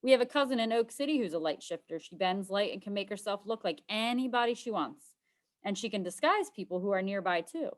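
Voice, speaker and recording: A woman's voice: mid-range voice, animated, a little fast, natural voice. A woman voicing a naive, talkative young boy, an eager little brother in a children's storybook. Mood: chatty, proud. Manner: guileless, talkative. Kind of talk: children's storybook read-aloud.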